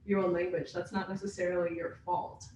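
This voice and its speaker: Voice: mid-range voice, level tone, a little fast, a little mellow, a little hiss or hum. A young adult who grew up in the United States, co-hosting a podcast in thoughtful, hedging, self-deprecating chat. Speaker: podcast co-host. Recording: very echoey, distant from mic.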